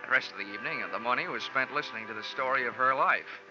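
A man's voice: medium pitch, fast talker, lightly acted. An actor playing a wry, tough, wisecracking American insurance investigator who narrates his case in a 1940s radio detective drama. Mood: wry, relaxed. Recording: dull vintage 1940s radio recording. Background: dramatic radio music.

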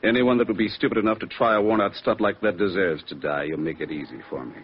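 An actor playing a cold, smug crooked lawyer with formal, menacing speech in an old radio detective drama. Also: male voice, fairly low pitch, fast talker, slightly rough. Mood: cold menace. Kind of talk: 1940s-50s radio detective drama acting. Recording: dull old-time radio recording.

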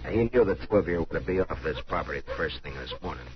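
A steady, stern western marshal speaks in a very low, measured voice. It sounds like a vintage radio drama, muffled and clearly noisy. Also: male voice. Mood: stern, patient. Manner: terse, measured, firm. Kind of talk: old-time radio western drama acting.

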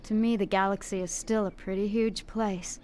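A woman's voice: medium pitch, fairly even intonation, normal speed, darkish tone, a little hiss or hum, natural voice. An actress playing an earnest, caring young female Jedi apprentice in an audio drama. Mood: eager.

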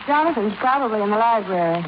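An actress playing a worried, conciliatory wife who gently chides those around her, in 1950s radio drama style. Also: medium pitch, lively intonation, quick pace, natural voice. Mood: gently chiding. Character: anxious, placating wife of an overbearing rich man. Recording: noisy muffled 1950s radio recording.

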